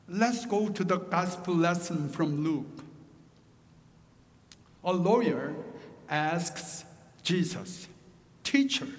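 Korean-accented pastor guy, high voice, super slow sermon, big church reverb. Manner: slow, deliberate, with joking asides.

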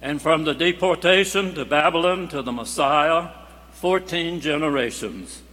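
A man reading scripture formally in a church service, with a measured, declamatory delivery. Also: fairly high pitch, a bit bright. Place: large church sanctuary. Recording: echoing church hall with faint hum.

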